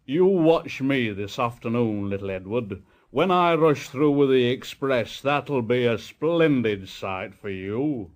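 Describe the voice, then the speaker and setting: A man voicing a big, proud, grumpy steam engine in a storybook, with slow, pompous, drawn-out speech. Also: medium pitch, expressive. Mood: pompous, boastful. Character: big, proud, cross, self-pitying male steam engine.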